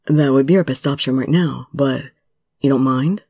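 A woman plays a young male musician in love, from a romance story. His voice sits low and husky, breathy and intense. He pleads earnestly, as if everything depends on being believed. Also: normal speed, warm tone, no echo, clean studio sound. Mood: reluctant. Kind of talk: dramatic romance story reading.

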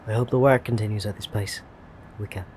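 A man's voice: fairly low pitch, fairly even intonation, brisk, a little mellow, slight background noise, lightly acted. An actor playing a secretive male government agent in an audio drama, terse and dry in manner. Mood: cool, guarded.

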